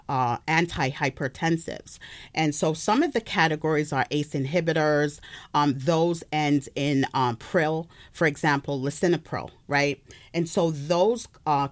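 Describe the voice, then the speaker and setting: A middle-aged woman from Birmingham, England, with a mixed British and American accent, explaining things carefully as an interview guest and health practitioner. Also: low-pitched.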